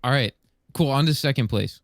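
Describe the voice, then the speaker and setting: A man's voice: medium pitch, fairly quick, noisy background. A man co-hosting a podcast in casual group chat. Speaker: podcast co-host.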